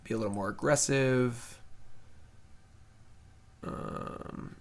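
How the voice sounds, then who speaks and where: A man's voice: mid-range voice, takes it slowly. Offhand man thinking aloud mid-game. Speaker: streamer playing a card game. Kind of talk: casual live gameplay commentary.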